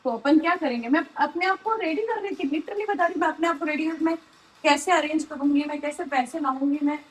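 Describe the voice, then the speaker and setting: High-pitched woman chatting earnestly. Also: brisk. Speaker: daily vlogger. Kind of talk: casual candid conversation. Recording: clearly noisy ambient background.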